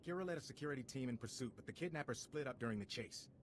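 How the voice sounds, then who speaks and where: A brisk male security commander, as an actor plays him in a video game, issuing urgent, businesslike orders at a mid-range pitch. A faint hiss sits under the voice. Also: lively intonation, close to an everyday voice.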